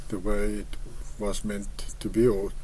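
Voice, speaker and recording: A man with an Afrikaans accent, a native Afrikaans speaker, reading scripture aloud and explaining it in a hesitant, halting way with frequent pauses. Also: lowish voice, little pitch movement, mellow, husky. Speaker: online Bible commentator.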